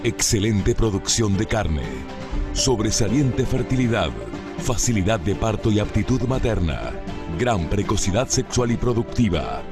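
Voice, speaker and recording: A man delivers scripted promotional narration in a lower register. He reads long lists of facts and numbers at a steady, measured pace. A clear music bed runs underneath his voice.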